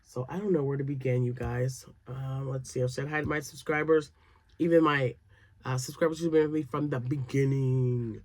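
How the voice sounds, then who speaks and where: A woman's voice: extremely low pitch, fairly even intonation, normal speed, darkish tone, no echo. A woman in her later years, a crochet vlogger chatting casually to camera, a little nasal and froggy from sinus drainage, rambling with fillers. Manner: chatty and rambling, many fillers, laughs often.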